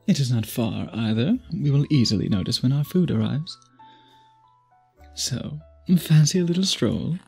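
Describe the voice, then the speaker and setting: A man playing a centuries-old vampire suitor in an intimate ASMR audio drama, speaking in formal, archaic, deliberate phrases with a breathy, husky voice. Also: medium pitch, lively intonation, darkish tone, soft background music, full character voice. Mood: reticent, polite. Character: centuries-old male vampire suitor, possessive, courtly, brooding.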